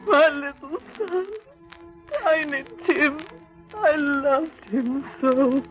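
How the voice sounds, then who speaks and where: A high, slow, mournful voice as a grieving mother in a 1930s radio play. She is tender and sorrowful, on a muffled old broadcast. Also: faint music behind. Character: grieving, tender mother, Bob Cratchit's wife. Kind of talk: 1930s radio drama acting.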